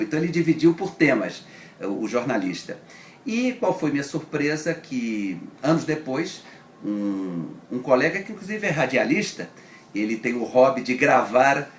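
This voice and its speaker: A middle-aged or older Brazilian man, a journalist, recollecting his past in a casual interview. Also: a little high. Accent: Brazilian Portuguese. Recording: echoey room, faint background noise.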